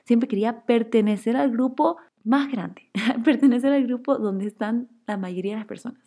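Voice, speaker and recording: A woman's voice: a little high, moderate pace, mellow. A young woman from Chile whose Spanish carries a Guayaquil accent with Chilean traces. She tells a personal story in a chatty way, full of fillers like "como que" and "o sea".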